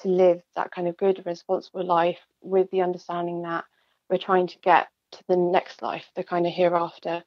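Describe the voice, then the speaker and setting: A woman speaks as a podcast guest, relaxed and measured, with an easy giggle. Her voice is low for a woman. It comes through a slightly muffled remote line with a dry, close sound. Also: no echo. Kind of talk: casual conversational interview.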